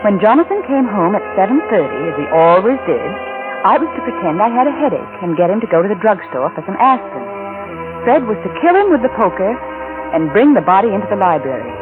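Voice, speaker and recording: An actress playing a scheming, adulterous wife who is coolly manipulative and falsely tender, in a 1940s radio drama style. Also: female voice, mid-range voice, sing-song, brisk, close to an everyday voice. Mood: cold, calculating. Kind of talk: 1940s radio drama acting with confessional narration. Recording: old lo-fi radio recording with music.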